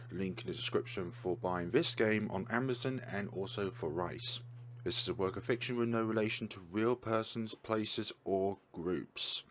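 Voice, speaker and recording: A young man with a low-pitched voice gives casual commentary on a game. He thinks out loud and gets excited as he goes, talking into a desk mic. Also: slight background noise. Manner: chatty, thinks aloud, excitable. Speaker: gaming YouTuber. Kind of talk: casual let's-play commentary.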